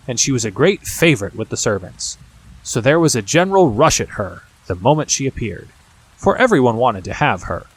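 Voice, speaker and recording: A male audiobook narrator reads a children's storybook in a measured way. His mid-pitched voice moves widely up and down, giving the scripted prose an expressive, storytelling shape. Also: rough recording.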